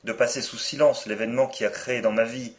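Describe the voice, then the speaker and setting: A man reading a first-person story aloud as an audiobook, with a solemn, deliberate delivery. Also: mid-range voice, steady tone. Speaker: audiobook reader.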